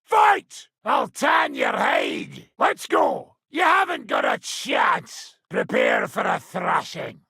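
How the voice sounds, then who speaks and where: An actor playing a brash, hard-drinking medieval fighter and combat trainer in a video game, gruff and taunting, with a raspy, gravelly voice. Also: male voice, extremely high pitch, huge pitch swings, takes it slowly, hardly any pauses, pushed and bright, no room sound, shouting, clean studio sound, a faint crowd, heavily acted. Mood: impatient, combative.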